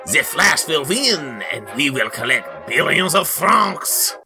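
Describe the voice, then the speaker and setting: A man's voice: a little high, sing-song, takes it slowly, music in the background, exaggerated voice. Man doing a gloating French crime boss, swooping. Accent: put-on French. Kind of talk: comedic dramatic comic-book reading.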